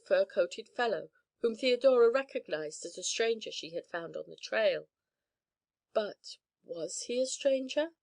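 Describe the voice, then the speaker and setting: A woman narrating a story in a calm, gentle, soothing reading. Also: mid-range voice, gravelly. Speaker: audiobook narrator.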